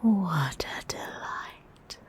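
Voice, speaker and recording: A woman voicing a predatory, hungry female vampire in a breathy whisper, a seductive and politely menacing ASMR roleplay monologue. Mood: hungry, coaxing. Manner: slow, drawn-out, teasing menace. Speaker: ASMR voice performer.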